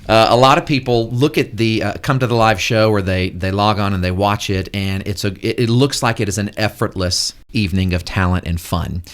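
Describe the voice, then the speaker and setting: A man's voice: on the low side, fairly even intonation, fairly quick, few pauses. A man who heads a school and sits on a club board, explaining things articulately as a guest on a radio interview. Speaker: head of school and Rotary Club board member. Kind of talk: casual radio interview talk. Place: radio studio.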